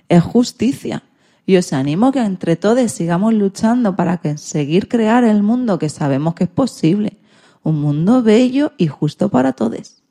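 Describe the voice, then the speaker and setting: A woman radio presenter with a fairly low voice. The tone is dark and mellow as she talks. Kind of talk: radio talk.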